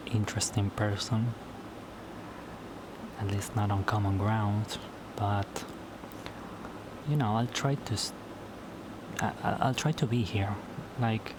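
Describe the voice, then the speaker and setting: Slow spanish-native guy vlogging at home at night, keeps repeating words, noisy recording. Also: lowish voice. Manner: halting, repeats words and restarts often. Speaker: YouTuber. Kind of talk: casual solo vlog talk.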